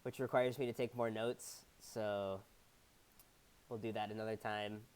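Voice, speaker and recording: A man's voice: fairly low pitch, little pitch movement, unhurried, a little mellow, smooth. A man co-hosting a podcast in casual chat, laid-back and trailing off, with a stuffy head cold. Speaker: podcast co-host.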